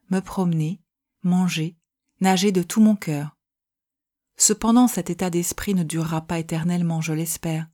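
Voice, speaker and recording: Woman reading old letters in a mellow voice. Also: lowish voice. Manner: measured, gentle letter reading. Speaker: reader. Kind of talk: reading historical letters aloud.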